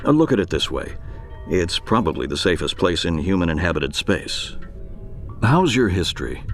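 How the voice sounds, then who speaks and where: An actor playing a shrewd, sardonic older military intelligence officer in a radio drama, measured and professorial. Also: male voice, low-pitched, sing-song, soft background music. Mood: insinuating.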